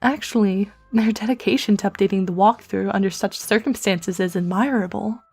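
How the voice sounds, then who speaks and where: A woman's voice: mid-range voice, brisk, a little mellow, husky. A woman playing a dry, composed, verbose teenage girl with wry, articulate delivery in a fan webcomic dub. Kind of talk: fan comic dub acting.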